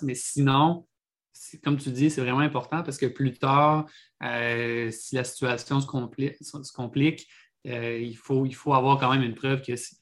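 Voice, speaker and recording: Quebec French man, co-host, mid-pitch, monotone and hesitant. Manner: calm, hesitant, frequent fillers. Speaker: podcast co-host. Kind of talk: casual legal podcast chat.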